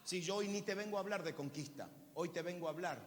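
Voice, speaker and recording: A pastor in his fifties preaching a sermon to a congregation, throwing questions at them and swinging from loud bursts to quiet, low lines. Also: fast talker. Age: 50s. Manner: preaching with call-and-response questions, swinging from shouts to low tones. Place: large church hall. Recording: church hall reverb, faint noise.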